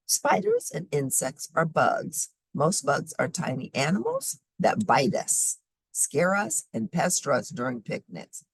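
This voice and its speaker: A woman reading a children's nonfiction book aloud in a deliberate, explanatory way, emphasizing key words. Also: rumbling low, breathy edge. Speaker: host reading children's science books aloud.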